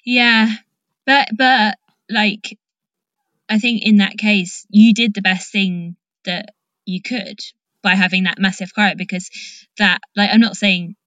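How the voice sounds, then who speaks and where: Female interviewer, informal and supportive, conversational delivery, medium pitch, dry close recording. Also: steady tone, takes it slowly, fairly bright tone, no room sound. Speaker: host, interviewer. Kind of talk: casual chat, interviewing.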